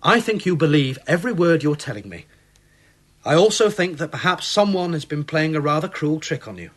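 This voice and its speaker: A brusque skeptical inspector. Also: male voice, on the high side, very animated, brisk, a bit bright. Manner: clipped, dismissive, rational. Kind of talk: radio drama acting.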